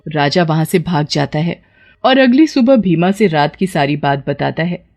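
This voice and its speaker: A woman's voice: low voice, animated, moderate pace, a little mellow. A woman narrating a scripted moral tale as the storyteller.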